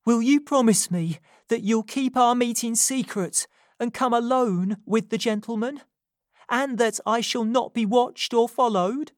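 A woman's voice: on the high side, measured pace, no room sound, studio quality, voice pushed up, close to an everyday voice. A woman voicing a frightened young woman who speaks in an urgent, pressing way in a storybook reading.

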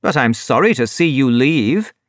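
A reader voicing an anxious gentleman who asks his friends to stay. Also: medium pitch, animated, normal speed, no echo, studio quality, lightly acted. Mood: worried. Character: anxious gentleman host, worried.

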